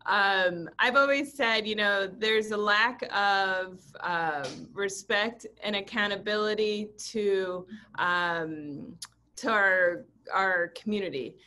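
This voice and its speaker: A woman's voice: medium pitch, slow pace, sharp tone. A woman from Puerto Rico speaking earnestly on a video call panel, with frequent hesitant fillers.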